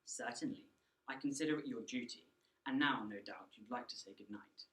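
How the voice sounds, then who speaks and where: A teenage boy plays a cold upper-class mother in a radio play. The voice is mid-pitched for a male, stern and unrepentant, with strong room echo. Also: fairly quick, a bit bright, natural voice. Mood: stern, self-righteous. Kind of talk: school radio play acting. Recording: echoey room.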